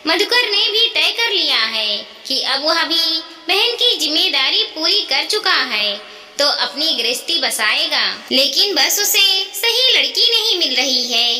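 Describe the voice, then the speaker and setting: A woman's voice: sits high, big pitch swings, measured pace, pushed and bright, full character voice. A woman storyteller voicing a caring elderly widowed mother-in-law, earnest, motherly and persuasive in a moral story. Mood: reassuring, persuasive. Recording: echoey, reverberant hall-like sound.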